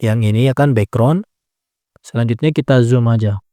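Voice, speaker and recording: Mellow-voiced man explaining a tutorial. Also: mid-range voice, moderate pace, no echo, clean studio sound. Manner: relaxed step-by-step explaining, frequent "nah" and "ya kan" tags. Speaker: tutorial creator. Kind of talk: casual screen-recorded app tutorial.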